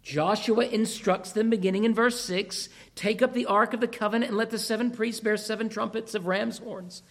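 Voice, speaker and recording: A man preaching a sermon through a scripture passage, losing his voice, with a measured manner and rhetorical questions. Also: high voice, fairly even intonation.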